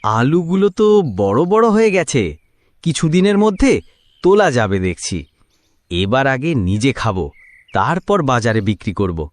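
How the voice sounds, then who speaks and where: A man's voice: medium pitch, sing-song, measured pace, pauses often. A man playing a poor, hopeful, devout village farmer in a cartoon, exclaiming in an earnest, folksy way. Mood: pleased. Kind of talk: Bengali cartoon voice acting.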